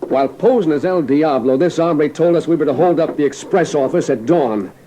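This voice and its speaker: An actor playing a suspicious, vengeful gang boss in an old-time western radio drama. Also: male voice, fairly high pitch, fairly quick, mellow, a little hiss or hum, lightly acted. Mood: menacing, commanding. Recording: muffled vintage radio recording.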